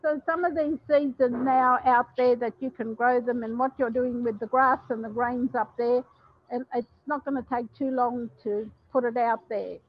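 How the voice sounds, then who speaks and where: An older Australian woman, a chef, talking casually about her cooking on a headset mic in a kitchen, with many ums and pauses. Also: fairly high pitch, steady tone, no echo, muffled recording. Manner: warm, plain-spoken, many ums and pauses. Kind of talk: casual cooking talk to a webinar.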